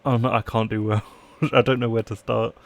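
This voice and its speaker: A man's voice: mid-range voice, flat delivery, normal speed, gravelly. A man live-streaming a let's play, reading game text aloud and chatting in playful asides. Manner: playful asides, trying out accents.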